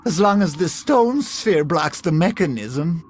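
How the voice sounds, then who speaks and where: A man's voice: on the high side, big pitch swings, measured pace, slight background noise. An actor playing a weary, fretful old man in a video game, a pessimistic mentor given to dramatic sighing delivery. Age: older. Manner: dramatic, sighing, swinging between resignation and alarm. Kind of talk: scripted video game acting.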